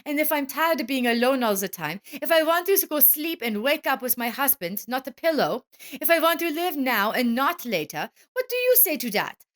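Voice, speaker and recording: A woman voicing an unfaithful, sharp-tongued wife with an emphatic, confrontational manner in a narrated story. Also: high voice, very animated, normal speed, voice pushed up. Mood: defiant, angry.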